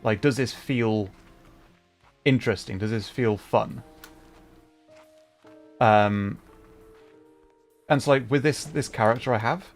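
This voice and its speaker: A man's voice: mid-range voice, slow pace, long pauses. A man chatting casually on a gaming livestream, thinking aloud in a hesitant, rambling way. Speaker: streamer. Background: game music.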